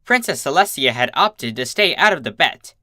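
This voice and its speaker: A narrator giving an expressive first-person reading of a comic fan story. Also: medium pitch, moderate pace, no echo, studio quality.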